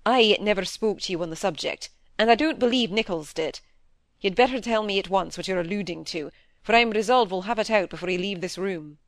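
A woman reading an audiobook, playing a stern middle-aged husband who questions gravely, with clipped insistence. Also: medium pitch, brisk, dry recording, natural voice. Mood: pressing.

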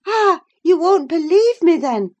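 A woman's voice: very high-pitched, big pitch swings, measured pace, dull audio, voice pushed up, full character voice. A woman voicing an imperious, scornful young married woman in a storybook reading, declaiming with emphatic command. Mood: indignant, curt.

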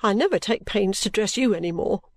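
A woman voicing a sulky, overworked young woman who complains abruptly and breaks into pitched outbursts. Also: fairly quick, dry recording, natural voice. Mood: aggrieved.

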